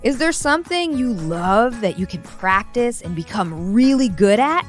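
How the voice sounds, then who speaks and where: A woman reading a children's story aloud in an animated, dramatic way, drawing out words for emphasis. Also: on the high side, a little slow, faint music behind. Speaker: children's story reader and host.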